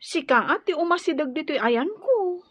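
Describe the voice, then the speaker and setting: High-voiced, bashful young sweetheart. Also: female voice, animated, moderate pace, dry recording. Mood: coy, teasing. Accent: Ilocano. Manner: hesitant, bashful. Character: shy, humble young sweetheart from a poor family. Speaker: radio drama actor. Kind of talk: Ilocano radio drama acting.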